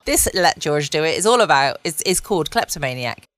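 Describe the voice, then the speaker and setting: Low-voiced woman, close and dry. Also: animated, brisk, a bit bright, no room sound. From United Kingdom. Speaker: podcast host. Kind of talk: relaxed late-night bedtime podcast introduction. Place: at home.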